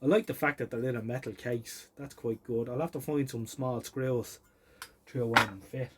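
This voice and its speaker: An Irish man giving casual, unhurried hands-on commentary, muttering and thinking aloud as he tinkers, recorded on a phone. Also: mid-range voice, fairly even intonation. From Ireland. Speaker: vintage electronics hobbyist presenting. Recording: clearly noisy phone recording.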